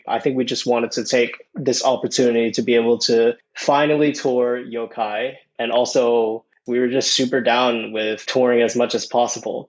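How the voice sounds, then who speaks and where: A man's voice: mid-range voice, dull audio. A young man who grew up in Thailand, chatting casually as a guest musician, with lots of "dude" and "man". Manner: laid-back, many fillers like "dude" and "man". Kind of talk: casual interview chat.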